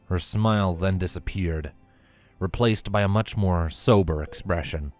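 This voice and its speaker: A man's voice: sits low, unhurried, darkish tone. A man reading scripted story narration as the narrator of a fan fiction tale. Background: faint music.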